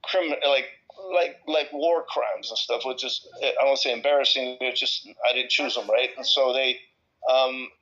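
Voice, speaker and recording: A man with a bright, forceful voice at a middling pitch talks casually and without a script. He rambles, repeats words and drops sentences halfway before picking up again. The audio is a little dull and lo-fi. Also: fairly even intonation. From El Salvador. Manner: rambling, halting, repeats words and breaks off mid-thought. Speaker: discussion participant. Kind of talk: casual, impromptu personal talk in a group discussion.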